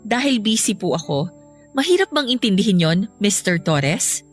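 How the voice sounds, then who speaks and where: An earnest young female teacher, with a mid-pitched voice. She is guarded and polite, in a radio drama style. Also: very animated, normal speed, faint music behind. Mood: cold, formal. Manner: polite, formal, restrained.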